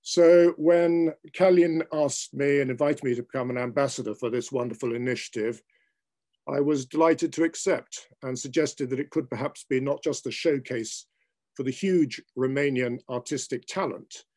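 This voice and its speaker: A man's voice: medium pitch, moderate pace, a little mellow. An older man with a British accent giving a formal, articulate prepared speech as a guest on a video call. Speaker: invited guest speaker, arts patron. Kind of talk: prepared speech at an online launch event. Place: book-lined room at home. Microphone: video call, seated at computer.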